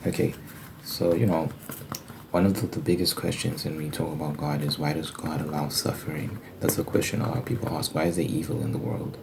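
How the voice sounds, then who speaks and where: A man speaking in a casual, halting ASMR style, explaining religious ideas informally and posing questions as he goes. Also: a bass voice, flat delivery, gravelly. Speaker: ASMR video creator. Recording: faint background noise.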